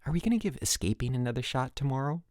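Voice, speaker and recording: A narrator voicing a cheeky, excitable boy at summer camp, the lively sidekick in a children's story. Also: medium pitch, quick pace, dry recording, clean studio sound, voice pushed up, lightly acted. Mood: amused, eager. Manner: lively, jeering.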